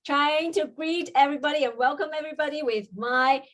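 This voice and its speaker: A woman's voice: high voice, a little fast. A woman from China with a Chinese accent, a native Chinese speaker, telling her own story as an interview guest. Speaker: guest, mindset coach.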